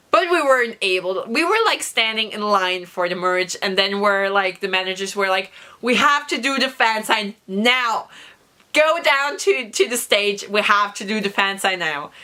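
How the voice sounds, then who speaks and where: A young woman vlogger with a hoarse voice from a recent cough, chatting through an excited story with many fillers. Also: medium pitch. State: hoarse, recovering from lost voice. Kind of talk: casual storytelling vlog.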